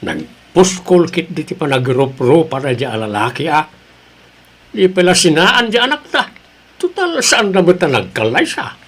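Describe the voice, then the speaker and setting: Man playing a stern, high-voiced old grandfather. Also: big pitch swings, normal speed, a bit bright, slightly rough, exaggerated voice. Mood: indignant. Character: stern, indignant old grandfather scolding his wife. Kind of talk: Ilocano audio drama.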